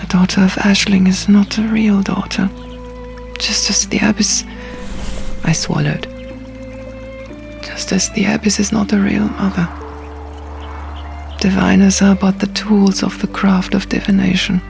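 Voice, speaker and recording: A woman's voice: medium pitch, little pitch movement, unhurried, creaky, long pauses, a little mellow. A woman reading a dramatic first-person fantasy audiobook as narrator. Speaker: audiobook reader. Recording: music behind the voice.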